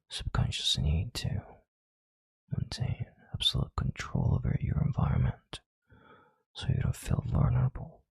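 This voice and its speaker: An extremely low, husky male voice acted by a man plays a domineering CEO in an intimate audio roleplay. He murmurs with heavy vocal fry and calm authority, condescending yet tender. The sound is close and dry. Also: no echo, full character voice. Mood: analytical, controlled. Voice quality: very deep, gravelly, breathy murmur.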